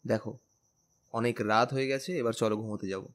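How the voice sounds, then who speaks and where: A man playing a tender, slightly insecure young lover in a romantic audio drama, speaking gently and intimately. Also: fairly low pitch, fairly even intonation, brisk, natural voice. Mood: affectionate.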